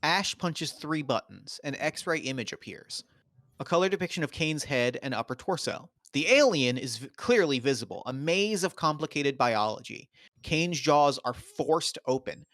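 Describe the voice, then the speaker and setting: A man's voice: fairly high pitch, expressive, moderate pace, fairly bright tone, no echo. Man reading screenplay directions, dry close sound. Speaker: narrator reading stage directions. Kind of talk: scripted narration of a screenplay at a table read.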